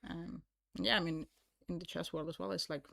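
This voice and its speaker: Creaky-voiced woman co-commentating on chess. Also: fairly low pitch, steady tone, a little fast, sharp tone, no room sound, clean studio sound. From Estonia. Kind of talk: casual chat commentary.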